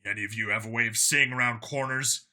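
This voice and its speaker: A man's voice: mid-range voice, steady tone, fast talker, sharp tone. A man voicing a decisive human soldier leading commandos in an audiobook, speaking tersely and with command. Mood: focused, commanding.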